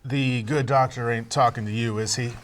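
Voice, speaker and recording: A man acting a worn, hard-bitten fifty-year-old police detective in a screenplay table read, gruffly casual and unhurried. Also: mid-range voice, steady tone, lightly acted. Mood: wry, dismissive. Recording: faint noise with clicks.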